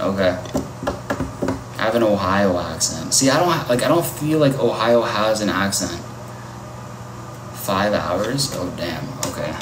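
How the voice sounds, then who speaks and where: A man on a casual livestream, chatting and reacting to his viewers' comments. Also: fairly low pitch. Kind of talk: casual live-stream chat. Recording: echoey with loud ambient noise.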